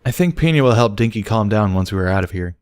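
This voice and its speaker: A man voicing a gentle but commanding adult male pony tutor in a story reading. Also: on the low side, expressive, quick pace, clear, resonant tone, dry recording, clean studio sound, close to an everyday voice. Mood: calm request.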